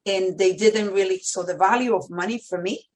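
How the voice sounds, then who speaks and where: A woman's voice: mid-range voice, a little fast. Middle-aged woman with a Dominican Spanish accent. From Dominican Republic. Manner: emphatic, repeats phrases. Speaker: podcast guest, medical center executive. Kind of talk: personal storytelling in an interview.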